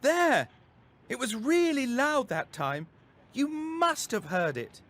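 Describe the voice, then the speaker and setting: A man voicing an excitable, anxious and insistent young schoolboy in a children's story. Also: very high voice, slow pace, voice pushed up, heavily acted. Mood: alarmed, insistent.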